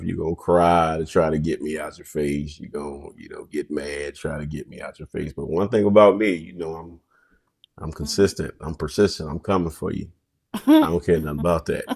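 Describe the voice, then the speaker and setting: Middle-aged man, fairly low voice, easygoing and emphatic; casual podcast co-host. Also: vocal fry. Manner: relaxed, emphatic, colloquial. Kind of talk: casual chat.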